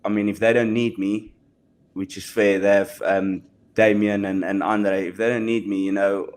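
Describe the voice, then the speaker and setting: A man's voice: fairly low pitch, flat delivery, moderate pace, a little mellow. Modest young South African man, hesitant. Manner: modest, hesitant, many restarts. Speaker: rugby player guest. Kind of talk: casual podcast chat.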